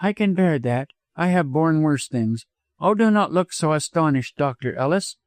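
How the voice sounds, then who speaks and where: Man voicing a proud, high-pitched young widow. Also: animated, moderate pace, no room sound. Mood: petulant, commanding. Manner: emphatic, imperious. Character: proud, agitated young widow, wilful and quick-tempered. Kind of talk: dramatized audiobook reading.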